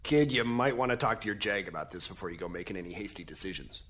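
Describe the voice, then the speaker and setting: A man playing a smooth-talking crown prosecutor in an audio drama, wry, insinuating and theatrical. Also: sits low, fairly even intonation, fast, fairly bright tone. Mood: insinuating, cool.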